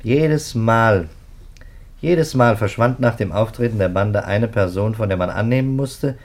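An actor playing a sceptical, methodical police detective in a 1950s radio play, speaking in a clipped, businesslike manner. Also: male voice, lowish voice, measured pace. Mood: matter-of-fact. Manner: businesslike, clipped, rattles off facts.

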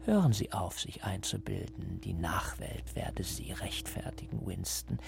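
An actor playing a coldly patient, menacing party interrogator who lectures deliberately and insistently in a radio drama. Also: male voice, low voice, expressive, vocal fry, in a whisper, over music, exaggerated voice. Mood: cold, lecturing. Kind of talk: German radio drama acting.

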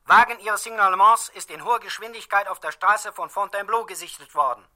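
High, piercing police report announcer. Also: male voice, fast talker, bright, forceful tone, soft background music. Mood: urgent, impersonal. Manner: official, clipped. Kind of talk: 1960s radio play police dispatch.

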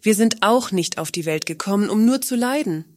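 An actress playing a curious, earnest Earthling in a satirical radio play, inquisitive and theatrically articulate. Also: female voice, mid-range voice, lively intonation, normal speed, darkish tone, no echo, clean studio sound, natural voice. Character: curious, earnest female Earthling questioning an alien.

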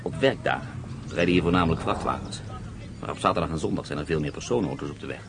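A scheming prisoner of war with a very low voice, calm and calculating. The radio play recording has a clear hiss behind him. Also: male voice, fast. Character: cunning German POW escape planner.

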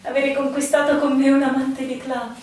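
An actress playing an anguished, suspicious young barmaid wounded in love, in a theatrical radio drama manner with dramatic pauses. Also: female voice, sits high, little pitch movement, darkish tone, natural voice. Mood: bitter, wounded. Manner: emotional, dramatic pauses, swinging intensity. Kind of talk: theatrical stage-style radio drama acting. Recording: very echoey room, distant mic, faint noise.

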